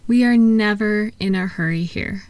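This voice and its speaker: A woman playing a kind, unhurried attendant at a calming clinic, soft-spoken and reassuring in a relaxation roleplay. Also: medium pitch, no room sound, close to an everyday voice. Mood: gently hospitable.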